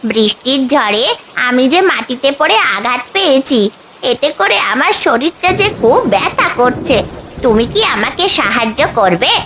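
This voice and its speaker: A woman's voice: high voice, big pitch swings, normal speed, noisy background. A woman voicing an injured, colourful bird in a cartoon, pleading in a plaintive way. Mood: pained pleading.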